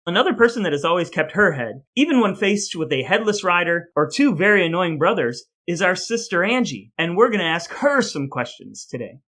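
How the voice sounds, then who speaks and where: A man's voice: high voice, sing-song, fairly quick, few pauses, fairly bright tone, slight background noise. An American man from Ohio speaking as a podcast co-host in a casual style. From Ohio, United States. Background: music.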